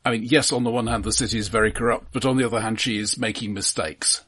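Low, slightly husky man talking films. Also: fairly even intonation, fast, a bit bright. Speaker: film review podcast co-host. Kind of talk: casual film discussion.

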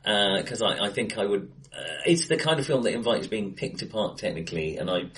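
A middle-aged man chatting casually and wryly about films as a podcast co-host, recorded in a bright, echoey room. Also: fairly low pitch, sing-song, a little fast. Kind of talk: casual film chat. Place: face to face in an acoustically bright room.

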